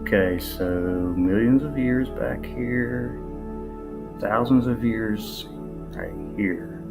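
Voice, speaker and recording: A man giving casual, unscripted commentary in a musing, halting way, often posing rhetorical questions. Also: medium pitch, creaky. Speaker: video narrator. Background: new-age music.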